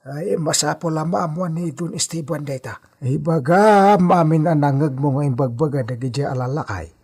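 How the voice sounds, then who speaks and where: Raspy higher-pitched village man, fretful, exclamatory, wary, radio comedy-drama played by a man. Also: moderate pace, darkish tone. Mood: alarmed. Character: wary, exasperated village man, easily alarmed.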